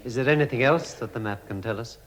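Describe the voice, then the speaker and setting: An actor playing a learned, inquisitive old wizard in a 1960s radio drama. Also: male voice, mid-range voice, fast talker, fairly bright tone, lightly acted, poor audio.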